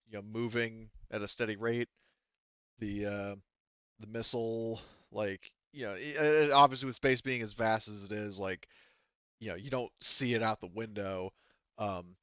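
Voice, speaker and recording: A man's voice: fairly low pitch, normal speed, fairly bright tone, clear, resonant tone, no room sound, dull audio. A man acting as game master, narrating a tabletop roleplaying game in a casual, hesitant way.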